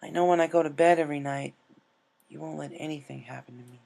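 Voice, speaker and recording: A man voicing an excitable, earnest female cartoon pegasus pony with an emotional delivery in a story reading. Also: a little high, fast, creaky, voice pushed up. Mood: tender, vulnerable.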